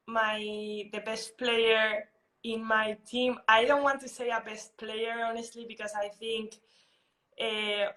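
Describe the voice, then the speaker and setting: A woman's voice: a little high, a bit bright, rough recording. A young woman answering interview questions casually, thoughtful and full of fillers and restarts. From Spain. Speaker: interviewee, national team footballer.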